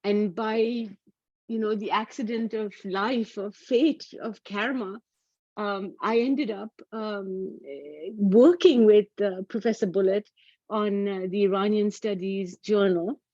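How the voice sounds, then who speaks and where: Brief: middle-aged woman, academic speaker on a video call, halting delivery with frequent fillers, dull dry audio. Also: medium pitch, dark tone, no echo. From Pakistan. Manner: hesitant, many fillers and restarts. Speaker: academic lecturer. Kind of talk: online academic talk. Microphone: computer mic on a video call.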